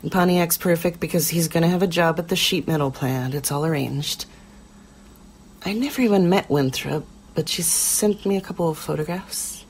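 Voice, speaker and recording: A woman playing a quiet, lonely middle-aged churchgoer who recounts her past haltingly and plainly, as in a documentary interview. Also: fairly low pitch, slight background noise, natural voice. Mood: subdued, reflective. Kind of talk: audio drama mock-documentary interview.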